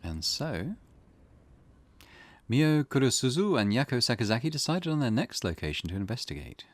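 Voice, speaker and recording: A man reading as the measured narrator of an occult mystery game. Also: mid-range voice, very animated, a little hiss or hum, natural voice. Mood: solemn. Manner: measured storytelling.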